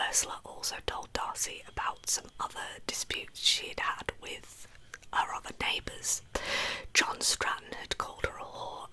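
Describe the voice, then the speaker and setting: Woman doing asmr storytelling, all whisper no voice, breathy n hushed, very bright sound. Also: rough recording. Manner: hushed, unhurried narration. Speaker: host of an ASMR true crime channel. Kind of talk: soft-spoken ASMR storytelling.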